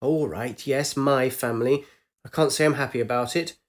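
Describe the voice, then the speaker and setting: A woman's voice: rumbling low, moderate pace. A man voicing a bewildered, ancient woman who speaks in eager, faltering bursts. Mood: defensive. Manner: faltering, uncertain questions.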